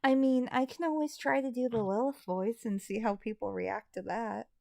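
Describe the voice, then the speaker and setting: A woman's voice: a little high, fairly even intonation, normal speed, vocal fry, a little mellow, no echo, studio quality. A tired woman chatting casually as she hosts a live stream, using mild, folksy exclamations. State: a little tired. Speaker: live stream host and amateur voice actor.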